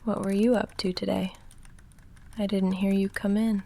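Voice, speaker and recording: A woman playing a young goth vampire roommate in an ASMR roleplay, speaking softly and often whispering in a dry, halting, self-deprecating way. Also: mid-range voice, little pitch movement. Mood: curious, self-deprecating. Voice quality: soft, often whispered, breathy fry.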